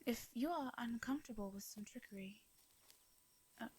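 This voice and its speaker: A woman with an English accent playing a gentle, courteous vampire in an intimate fantasy audio drama, speaking softly and breathily in formal, hesitant phrases. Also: fairly high pitch, slight background noise, natural voice. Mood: earnest, wistful. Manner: soft breathy delivery, formal old-fashioned diction, hesitant pauses. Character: gentle, courteous female vampire, centuries-worn, wistful yet devious.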